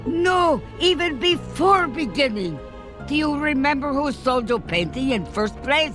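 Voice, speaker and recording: A woman's voice: high-pitched, expressive, measured pace. A woman voicing a scheming, spiteful sorceress duck who speaks broken English without articles, over game music. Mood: gloating.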